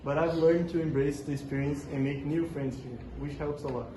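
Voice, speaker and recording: A man's voice: a little high, fairly even intonation, fairly quick. A young man answering interview questions in a scripted school role-play, reading prepared answers steadily. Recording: echoey room with noticeable noise.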